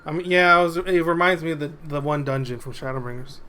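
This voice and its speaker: A man's voice: a little high, fast talker, sharp tone. A man streaming a video game, giving casual, offhand commentary. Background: game music.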